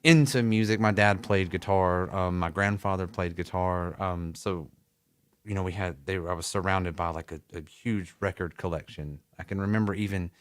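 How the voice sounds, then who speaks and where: A middle-aged man with a Southern American accent and a low voice, recorded close and dry in a music studio. He tells stories from his youth in an easy, rambling way and laughs often. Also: normal speed, dry recording. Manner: relaxed storytelling, laughs often. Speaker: musician guest. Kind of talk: casual interview.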